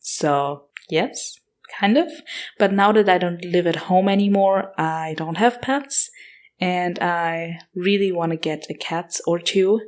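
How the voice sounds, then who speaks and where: A woman's voice: lowish voice, slow pace. A woman in her twenties with an Austrian German accent hosting a casual solo podcast Q&A, chatty with frequent fillers and self-corrections. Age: 20s. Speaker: podcast host.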